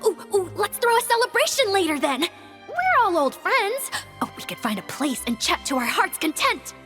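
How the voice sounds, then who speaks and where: A bubbly, theatrical young woman in an anime game. Her voice is very high and lively, carried by a long hall reverb with music behind. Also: moderate pace, heavily acted. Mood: excited. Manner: exuberant, expressive. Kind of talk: English anime game dub, scripted cutscene acting.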